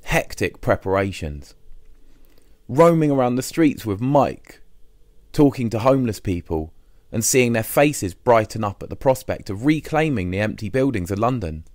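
A man narrating an audiobook diary in the first person, expressive and measured, with short pauses between phrases. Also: lowish voice, no echo, studio quality. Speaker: audiobook reader.

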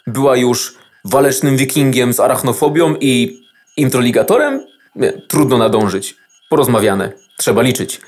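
A man's voice: mid-range voice, animated, moderate pace, husky. A man playing an overworked, literal-minded computer processor in a children's radio play, speaking in a mechanical, weary way. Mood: matter-of-fact, weary. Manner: mechanical counting, matter-of-fact, weary.

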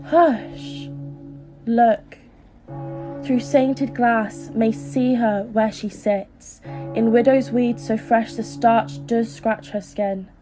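A woman playing a sly, conspiratorial narrator in a theatrical, deliberate verse audio drama. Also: a little high, fairly even intonation, rough recording. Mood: hushed intrigue. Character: sly, conspiratorial narrator of night-time scandal. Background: music.

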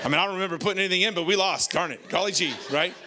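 A man in his forties with an American accent preaching an informal, animated, conversational sermon into a handheld microphone on a church stage. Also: high voice, very fast, a crowd in the background. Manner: conversational, animated, colloquial, repeats phrases for emphasis. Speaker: pastor.

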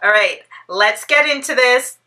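A woman's voice: fairly high pitch, animated, measured pace, bright, forceful tone. A woman in her thirties from Toronto with a Canadian accent, chatting playfully to camera in a casual Q&A and laughing often. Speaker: vlogger. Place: bright living room indoors.

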